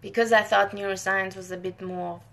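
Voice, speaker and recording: A young woman from northern Italy, a scientist and native Italian speaker, hosts a relaxed live Q&A from home, restarting sentences and laughing softly. Her voice has a gravelly edge, and a faint hiss sits under the computer-mic recording. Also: medium pitch, normal speed, poor audio. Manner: friendly, chatty, many restarts and short laughs. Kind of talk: casual live-stream chat.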